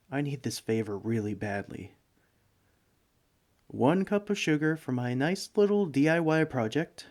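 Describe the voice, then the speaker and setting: A man's voice: medium pitch, a little mellow, lightly acted. A man playing a bumbling, broke villain neighbor in a comedic audio roleplay, speaking in a hesitant, pleading, self-justifying way. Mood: pleading, sheepish. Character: broke, bumbling, sheepish male supervillain neighbor begging for sugar. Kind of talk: comedic ASMR roleplay monologue.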